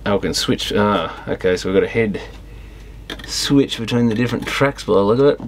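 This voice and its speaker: A man with a fairly low voice talks himself through an electronics repair. His delivery is casual and unscripted, with fragmented thoughts that often trail off. Clear background noise runs behind him. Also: a little fast. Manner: muttering, thinking aloud in fragments, trailing off. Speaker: electronics repairer and video host. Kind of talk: casual unscripted workbench commentary while tinkering.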